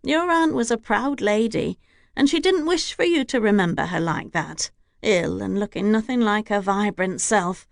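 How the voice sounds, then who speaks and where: A woman's voice: fairly high pitch, sing-song, normal speed, no room sound, studio quality. A woman voicing an older housekeeper who is formal and deferential to her lord. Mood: earnest. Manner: deferential to the lord, formal and old-fashioned speech. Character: older female housekeeper, devoted, stiff with outsiders.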